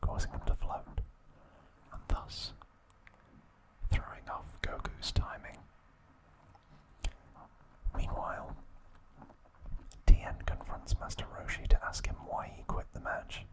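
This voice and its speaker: A man hosts an ASMR recap entirely in a whisper. He speaks very slowly and softly, laying out the story in short, measured phrases with pauses between them. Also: low-quality recording. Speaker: ASMR channel host.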